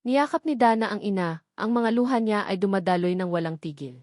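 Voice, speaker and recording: A woman narrator reads a scripted romance drama. Her delivery is dramatic storytelling at a middle pitch, recorded dry and close. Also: brisk, darkish tone, no echo.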